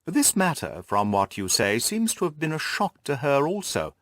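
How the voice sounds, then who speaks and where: A man voicing an incisive, composed gentleman detective in an audiobook, questioning and reasoning with precise emphasis. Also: fairly high pitch, wildly swooping pitch, normal speed, no echo, pitched above the performer's own voice. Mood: probing. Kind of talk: audiobook character acting.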